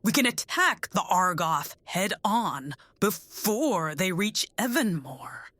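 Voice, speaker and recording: A woman's voice: medium pitch, very animated, slow, a bit bright, no echo, exaggerated voice. A woman playing a blunt, urgent, commanding army general in a fantasy audio drama.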